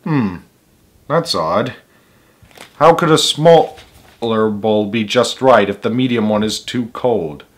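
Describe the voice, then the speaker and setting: A man's voice: fairly low pitch, slow, long pauses. A man reading a children's story aloud as its host, with emphatic delivery and casual asides.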